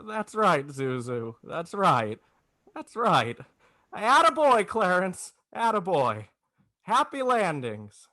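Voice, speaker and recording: A man's voice: high-pitched, big pitch swings, slow, bright, forceful tone, dry recording, heavily acted. Male actor as stammering, big-hearted family man for 1940s radio play; swinging intonation, close dry recording. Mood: grateful. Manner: stammering, folksy exclamations. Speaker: amateur actor.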